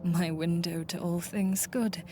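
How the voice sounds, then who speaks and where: A woman's voice: lowish voice, fairly even intonation, measured pace, noisy background, natural voice. A woman playing a wistful, doting old friend in a visual novel, speaking in florid, archaic phrases. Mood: tender, hushed.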